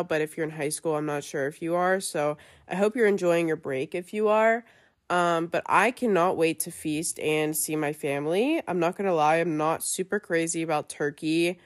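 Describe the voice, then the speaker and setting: Chatty young woman, nasal from a cold. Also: fairly low pitch. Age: young adult. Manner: chatty, lots of fillers and likes. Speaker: podcast host. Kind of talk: casual chat.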